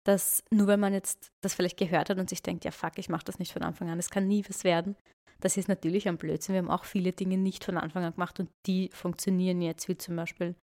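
A woman's voice: lowish voice, little pitch movement, fast talker, darkish tone. A young adult woman with an Austrian accent, chatting casually and colloquially as a podcast co-host.

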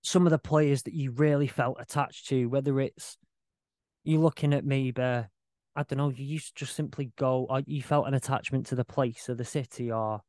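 A man's voice: mid-range voice, fairly even intonation, moderate pace, darkish tone, no echo, clean studio sound. A man appearing as a podcast guest, chatting casually and searching for his words as he goes. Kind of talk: casual chat.

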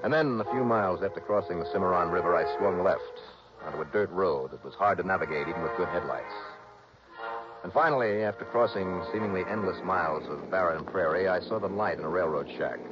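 An actor playing a brisk, tough, insistent investigator in a 1960s radio drama, clipped and urgent in dialogue and narration. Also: male voice, deep voice, music in the background, lightly acted. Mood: terse narration. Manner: clipped, urgent, hard-boiled. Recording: dull, noisy old radio recording.

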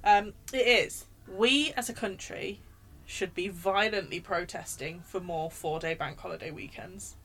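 A woman co-hosting a podcast with a loud voice, teasing her co-host and laughing often. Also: on the low side, a little slow, a little hiss or hum. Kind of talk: casual chat.

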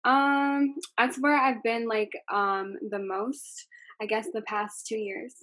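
Soft-voiced young woman, hesitant. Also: a little high, level tone, slow pace, no echo. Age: young adult. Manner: hesitant, many ums and likes. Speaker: singer being interviewed. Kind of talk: casual interview answers.

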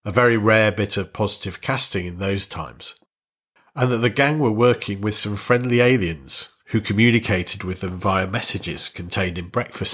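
A man's voice: fairly low pitch, fairly bright tone, breathy edge. A middle-aged man from Warrington, hosting and interviewing a guest in a chatty, friendly way. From Warrington, North West England. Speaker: podcast host.

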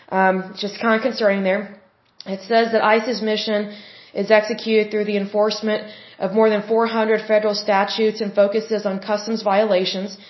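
A woman hosting a solo podcast in a casual, opinionated way, her voice a bit hoarse as it recovers, with frequent ums. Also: medium pitch. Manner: opinionated, conversational, many ums. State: recovering hoarse voice, clearing throat. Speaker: podcast host. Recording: roomy, dull lo-fi audio.

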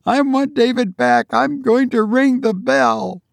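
A man's voice: very high voice, measured pace, gravelly, dry recording, voice pushed up, exaggerated voice. A man voicing a desperate, defiant grieving mother in a dramatic story reading, pleading breathlessly and insistently. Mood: frantic, defiant.

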